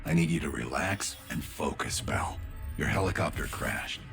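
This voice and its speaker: A man's voice: a bass voice, normal speed, vocal fry, fairly bright tone, soft background music, full character voice. A man acting a cool, commanding covert operations leader in a cinematic spy game, controlled and authoritative. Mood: commanding, probing.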